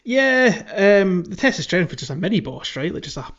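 Guy on a gaming podcast, slightly high voice, rambly casual chat, bit muffled sounding. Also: big pitch swings, moderate pace, fairly bright tone. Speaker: podcast co-host.

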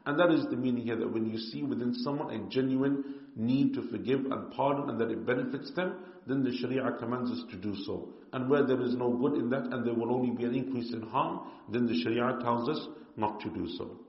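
A man's voice: mid-range voice, fairly quick. Man telling a moral story in a roomy hall. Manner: storytelling, with Arabic honorifics and small restarts. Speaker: religious teacher. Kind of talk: lecture with a story. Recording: echoey, dull room with reverb.

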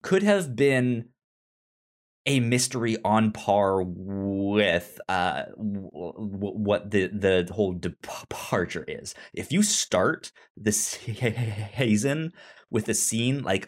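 A man co-hosting a podcast in casual discussion, halting and often stammering over repeated words. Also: fairly low pitch, expressive. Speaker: podcast co-host reviewing a TV series.

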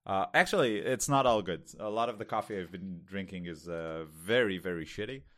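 A man from Israel with an Israeli accent, co-hosting a casual podcast and talking in a halting way with many fillers and restarts. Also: sits low, a bit bright. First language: Hebrew. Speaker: podcast co-host.

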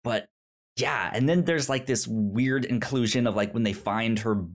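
A man's voice: medium pitch, moderate pace. A man co-hosting a casual film podcast, stuttering on first sounds and restarting often as he searches for words. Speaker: podcast co-host.

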